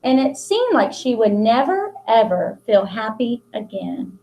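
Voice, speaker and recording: High, very animated American woman reading to children. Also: slight background noise, poor audio. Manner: simple, deliberately expressive phrasing addressed to children. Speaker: school principal reading to pupils. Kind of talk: picture book read-aloud for children.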